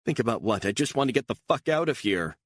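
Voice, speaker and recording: A frazzled young actress at the end of her rope, her voice pushed up high and tight, as a man puts her on. She swears and pleads in a shrill, strained way. The sound is close and dry. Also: brisk, fairly bright tone, dry recording. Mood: frantic. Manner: shrill, swearing, near tears.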